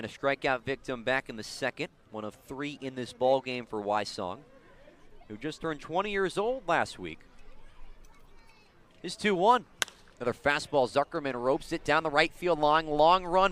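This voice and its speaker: A man's voice: a little high, fairly bright tone. Man calling live baseball play-by-play. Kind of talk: live radio sports commentary. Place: outdoors at a baseball park.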